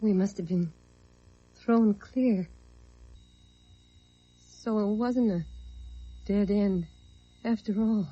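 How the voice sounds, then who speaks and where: A woman's voice: mid-range voice, takes it slowly, pauses often, warm tone, faint music behind. An actress playing a dazed, wistful woman in an old radio drama, speaking hesitantly and colloquially after a crash. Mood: dazed, reflective. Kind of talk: 1940s radio drama acting. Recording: muffled old radio recording.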